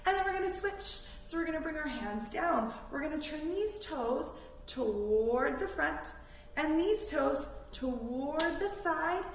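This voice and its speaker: A woman's voice: sits high, expressive, unhurried. A woman leading a children's yoga storytime in an encouraging, instructive way with simple phrasing. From Canada. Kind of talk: guided kids' yoga class with storytime. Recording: roomy, distant mic with faint noise.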